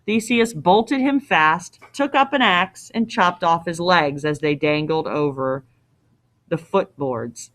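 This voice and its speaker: A woman's voice: fairly low pitch, slow, a bit bright. A woman reading a story aloud as a teacher, expressively, with casual explanatory asides.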